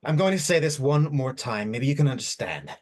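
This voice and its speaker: Guy acting bitter young man in his 20s, drama scene, curt, fed up, guarded, average pitch. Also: sing-song, a little fast, close to an everyday voice. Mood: exasperated. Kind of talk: dramatic scripted reading.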